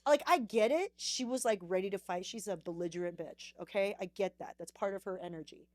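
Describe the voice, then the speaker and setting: A woman hosting a casual podcast chat, animated and quick to act out comic quotes. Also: mid-range voice, no room sound. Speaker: podcast host.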